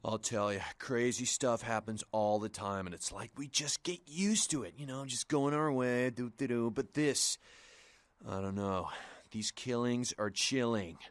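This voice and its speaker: An actor playing a nervous, spaced-out shop owner in a video game, rambling in a hesitant stoner drawl. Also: male voice, medium pitch, unhurried, dry recording, lightly acted. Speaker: shopkeeper character in a video game.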